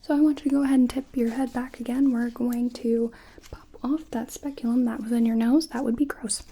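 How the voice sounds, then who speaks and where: A woman whispers gently through a mock doctor's checkup, her voice breathy and hushed. Her tone sits warm and dark, a little higher than most. She sounds playful as her voice drifts close to the mic and then away. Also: steady tone, fairly quick. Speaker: ASMR roleplay doctor. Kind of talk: ASMR medical exam roleplay.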